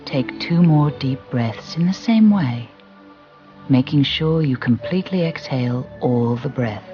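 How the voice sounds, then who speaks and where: A soothing, gentle voice guiding a relaxation and visualisation exercise, deliberate and with long pauses. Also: mid-range voice, animated. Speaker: guided relaxation instructor. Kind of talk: guided visualisation narration. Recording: muffled recording with music behind. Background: background music.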